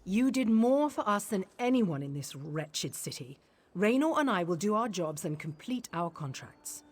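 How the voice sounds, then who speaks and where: A woman's voice: mid-range voice, normal speed, slightly rough. A woman voicing an eager, hot-tempered, talkative adventurer in a video game. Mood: grateful anticipation. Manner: excitable, talkative.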